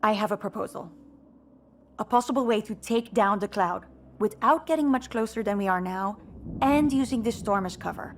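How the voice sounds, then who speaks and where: A woman's voice: mid-range voice, normal speed, slight background noise, close to an everyday voice. An actress playing a level-headed, resolute female rebel leader in dramatic video game dialogue, matter-of-fact and commanding. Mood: businesslike.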